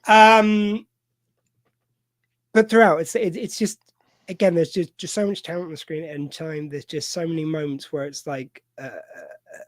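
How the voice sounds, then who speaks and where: A man with a fairly high voice hosts a loose film chat, drifting and restarting his sentences as he goes. The sound is dry and close, with no room around it. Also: fairly even intonation, dry recording. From United Kingdom. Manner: rambling, halting, many fillers and restarts. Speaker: podcast host. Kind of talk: casual film discussion. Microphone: video call mic.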